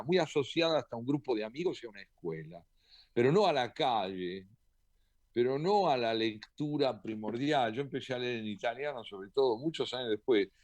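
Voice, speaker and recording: A man, a poet and translator, answering interview questions in a thoughtful, measured way with occasional hesitant fillers. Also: mid-range voice, dry recording. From Argentina.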